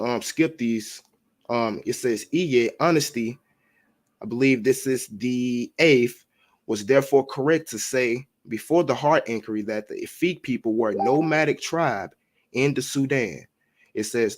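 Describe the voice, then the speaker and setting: Man reading and recapping history notes. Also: medium pitch. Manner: reads passages then recaps, addresses listeners as family. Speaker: teacher. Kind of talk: reading aloud and explaining history.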